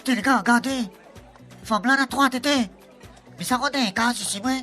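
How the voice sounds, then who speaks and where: A woman's voice: on the high side, normal speed, fairly bright tone, faint music behind, natural voice. A woman with a Réunion Creole accent voicing a grumbling, cheeky, quarrelsome earthling buddy in a comic radio serial.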